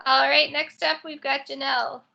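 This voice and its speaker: A woman with a high, bright voice runs an online orientation session. She calls on each student in turn with a brisk manner. Her voice has a slight gravelly edge and sounds muffled over a video call. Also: level tone. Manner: brisk roll-call introductions. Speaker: orientation host.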